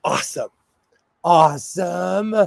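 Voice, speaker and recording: Hoarse, chatty man on a livestream. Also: high-pitched, takes it slowly, no echo, studio quality. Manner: chatty, apologetic, laughs often. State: slightly hoarse, losing his voice. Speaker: sewing livestream host. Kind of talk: casual livestream chat.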